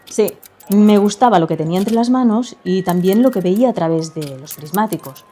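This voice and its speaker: A woman narrates a first-person short story in a mellow, soft-edged voice of medium pitch. The delivery is scripted literary storytelling, with faint music underneath. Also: expressive, moderate pace.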